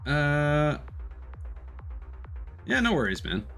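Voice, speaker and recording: A man's voice: fairly high pitch, huge pitch swings, very slow, a bit bright. A man giving casual live-stream gameplay commentary, explaining things conversationally and thinking aloud. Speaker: video game streamer. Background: game music.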